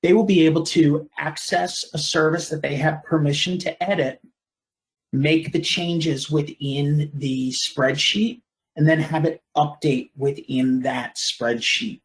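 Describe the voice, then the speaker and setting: A man presenting a software product in a webinar, explaining in a measured way with brief pauses. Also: fairly high pitch, steady tone, rough recording.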